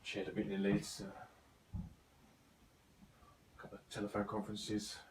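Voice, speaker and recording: A man's voice: lowish voice, completely flat delivery, slow pace. Polite boss in a very echoey room, acted by a man. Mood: meek. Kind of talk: amateur stage play acting, recorded at home. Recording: very echoey room, faint noise.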